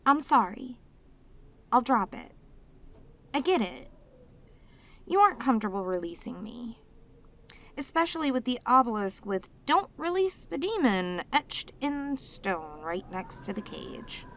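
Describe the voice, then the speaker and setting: A woman voicing a lonely, clingy, sweet female demon in an ASMR audio roleplay, with an earnest, halting, pleading manner. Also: on the high side, sing-song, fairly bright tone, full character voice. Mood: apologetic, grateful.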